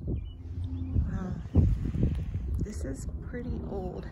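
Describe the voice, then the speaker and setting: A woman's voice: mid-range voice, very slow, gravelly, very noisy. A woman filming a casual walking vlog outdoors on her phone, chatty and hesitant, often trailing off and sometimes dropping to a near whisper. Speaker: vlogger. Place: outdoor cemetery on a sunny day.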